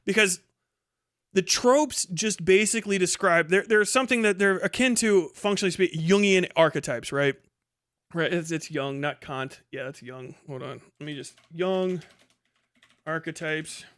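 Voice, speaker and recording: Bright, clear, sweary man streaming. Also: sits high, moderate pace, no echo, clean studio sound. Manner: rambling, sweary, emphatic. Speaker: livestream host. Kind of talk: casual opinionated commentary.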